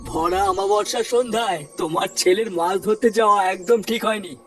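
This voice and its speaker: A man acts out a solemn village mystic who banishes ghosts in a comedy cartoon. His high voice moves briskly and commands with authority. It comes from a little far off, under loud music. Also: close to an everyday voice. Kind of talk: dramatic comic cartoon dialogue.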